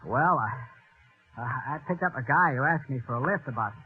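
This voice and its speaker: An actor playing a cocky, desperate killer narrating in slangy, hard-boiled 1940s radio noir style. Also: male voice, mid-range voice, expressive, a little fast, phone-quality sound, lightly acted. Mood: feigned distress. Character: cocky, desperate wife-killer convinced of his luck. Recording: old radio recording, thin band, music behind.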